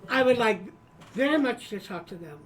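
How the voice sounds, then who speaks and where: Hesitant female player, halting speech, distant mic. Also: fairly high pitch, lively intonation, over music. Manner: hesitant, lots of ums and uhs. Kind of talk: casual tabletop chat.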